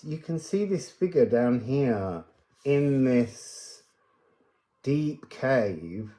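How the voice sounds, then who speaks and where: A man with a mellow voice gives a spiritual card reading in an echoey room. He speaks very slowly and musingly, wandering from one thought to the next without a script. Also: mid-range voice. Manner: musing, meandering, drifting between thoughts. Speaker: oracle card reader and host.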